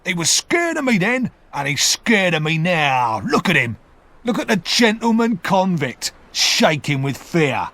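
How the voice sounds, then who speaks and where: A man voicing a rough, angry escaped convict who shouts defiantly. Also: sits high, big pitch swings, measured pace, pitched above the performer's own voice, full character voice. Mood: scornful.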